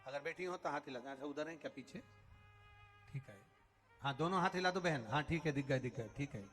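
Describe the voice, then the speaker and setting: A man's voice: on the high side, fairly quick, a bit bright, long reverb tail, soft background music. A man preaching a devotional katha sermon, telling stories, repeating phrases and singing lines. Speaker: Shiva Purana storyteller preacher. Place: large outdoor religious gathering.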